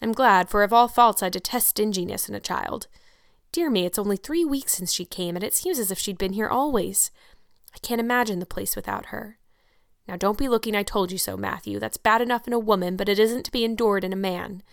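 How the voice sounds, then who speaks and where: A woman voicing a stern, practical older woman who speaks in a brisk, dry, scolding way. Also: mid-range voice, vocal fry, no echo, lightly acted. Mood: gruff.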